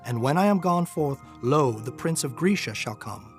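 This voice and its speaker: A man playing a solemn angel messenger who foretells wars of kings in a measured, declamatory dramatized scripture reading. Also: on the high side, lively intonation, close to an everyday voice. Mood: solemn, grave. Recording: music behind the voice.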